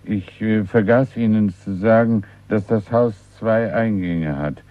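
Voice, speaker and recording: A man as a deep, deferential old-world landlord. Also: measured pace, dry recording, lightly acted. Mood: apologetic. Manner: polite, deferential, apologetic. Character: obliging, discreet, courteous male shopkeeper letting a room. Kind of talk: German radio play acting. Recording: thin phone-like old radio recording, dry.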